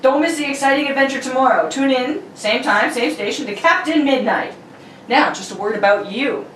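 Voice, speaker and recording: A woman's voice: high-pitched, a little fast, fairly bright tone, distant mic. A woman announcer reading old-time radio serial narration in a theatrical, playful amateur style. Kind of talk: amateur read of 1940s radio narration and sponsor ad. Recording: roomy, off-mic, faint noise.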